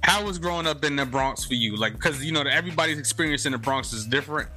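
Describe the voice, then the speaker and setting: A man's voice: fairly high pitch, fast talker, sharp tone, faint music behind. A man from New York hosting a casual podcast interview, hyping his guest in loose street talk. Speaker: podcast host.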